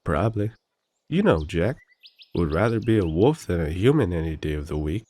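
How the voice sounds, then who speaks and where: Guy doing a big brother country werewolf, low voice, cheery n folksy, blunt teasing, audio rp. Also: sing-song, normal speed, warm tone. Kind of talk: immersive roleplay audio drama.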